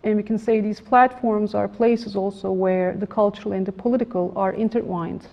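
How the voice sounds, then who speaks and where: A middle-aged woman giving a formal academic lecture in a room with a projector, measured and halting with frequent restarts. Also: mid-range voice, creaky. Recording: slightly dull lo-fi room recording.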